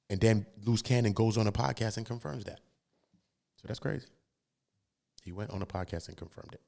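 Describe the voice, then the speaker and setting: A man's voice: low voice, a little mellow. An American man hosting a livestream, giving casual, slangy street commentary on court cases and repeating phrases for emphasis.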